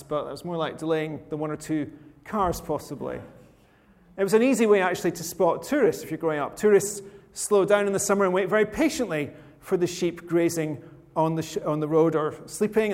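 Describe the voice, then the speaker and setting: A man from rural Scotland preaching a sermon in a relaxed, conversational way with touches of dry humor. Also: high voice. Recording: long hall reverb.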